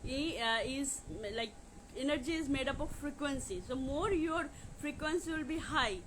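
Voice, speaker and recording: Higher-pitched Indian-accented woman, noisy livestream. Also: fairly bright tone. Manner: repetitive, halting with frequent "you know". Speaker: life coach hosting a live question session. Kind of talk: informal livestream talk to viewers.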